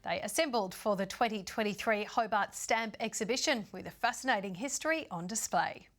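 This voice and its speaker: A woman presenting the news from a studio, reading scripted stories in a crisp, measured way. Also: medium pitch, a bit bright.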